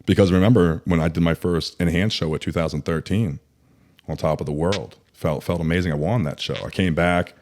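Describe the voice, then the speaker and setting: A man's voice: sits low, a little fast, darkish tone. A man in his thirties, a bodybuilder guest on a podcast, telling his story candidly and rambling with many fillers and restarts.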